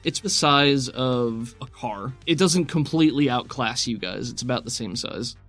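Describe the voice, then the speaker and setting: A man with a mid-pitched voice works as game master for a tabletop role-playing game. He talks casually and describes the action in detail, with music playing behind him. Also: moderate pace, a bit bright. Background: background music.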